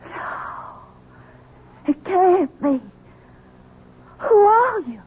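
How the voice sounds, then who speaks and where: An actress playing a feisty, frightened young bride with a breathless, defiant manner in a radio drama. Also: female voice, very high voice, lively intonation, very slow, exaggerated voice. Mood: shocked. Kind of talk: 1970s radio drama acting. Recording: muffled, tinny old radio broadcast recording.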